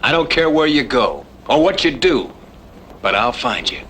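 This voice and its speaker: A man's voice: on the high side, animated, moderate pace, a bit bright. A man playing a weary, determined cowboy guardian in an old-time radio western, with grave, folksy speech. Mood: grim. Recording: faintly noisy old radio recording.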